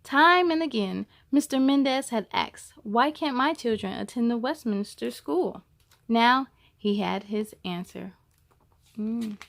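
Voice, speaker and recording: A woman reading a children's picture book aloud as a story-time host, with a deliberate, expressive storytelling delivery. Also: fairly high pitch, vocal fry.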